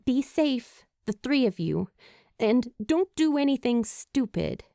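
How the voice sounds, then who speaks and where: A woman's voice: on the high side, sing-song, slow, vocal fry, mellow, dry recording, studio quality, voice pushed up, exaggerated voice. A woman voicing a caring, flustered and skeptical teenage girl in breathless audiobook dialogue. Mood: worried, scolding. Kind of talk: acted fan fiction audiobook dialogue.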